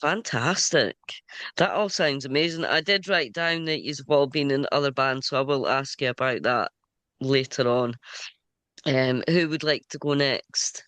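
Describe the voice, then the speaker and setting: A man hosting a radio interview with a head cold, chatty, with halting restarts. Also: medium pitch, sharp tone, clear, resonant tone, dry recording. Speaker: radio show host. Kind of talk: casual interview.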